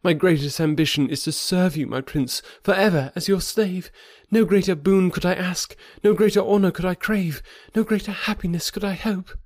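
A man's voice: high voice, moderate pace, hardly any pauses, no echo, clean studio sound, voice pushed up. A man voicing a devoted young woman who speaks fervently in formal, old-fashioned phrasing. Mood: ardent.

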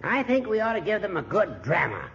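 An old judge in a vintage radio sitcom, dryly needling and theatrical. His voice is high and lively, on a muffled, hissy recording. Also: a little fast, vocal fry, full character voice. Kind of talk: 1940s radio sitcom acting.

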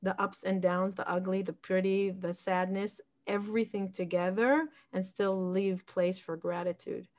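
A woman's voice: mid-range voice, flat delivery, normal speed, few pauses, darkish tone. A woman in her forties who grew up in Israel, a podcast guest talking reflectively about her community and upbringing, often restarting her sentences. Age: 40s. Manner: reflective, with frequent restarts and fillers. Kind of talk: casual interview conversation.

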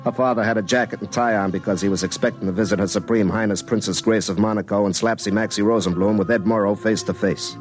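A man's voice: on the low side, steady tone, fast, hardly any pauses, lightly acted. An actor playing an earnest, hapless young telephone lineman, telling his own story in a folksy, self-dramatizing way in a comic radio drama. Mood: troubled, rueful. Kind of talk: first-person comic radio drama narration and dialogue. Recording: classic radio show with music underneath.

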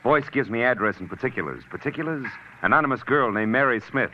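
An actor playing a smug, oily newspaper reporter who talks in a wheedling, smarmy way in an old radio drama. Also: male voice, medium pitch, animated, fast, slight background noise, close to an everyday voice. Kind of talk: 1940s radio acting. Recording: dull old radio recording.

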